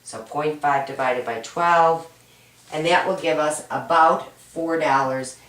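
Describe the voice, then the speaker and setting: A woman teaching an accounting homework walkthrough, hoarse and raspy from a cold, patient and step-by-step, often checking in with "right?". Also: low voice, slow pace, a bit bright. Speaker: accounting instructor. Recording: roomy echo, mic at a distance.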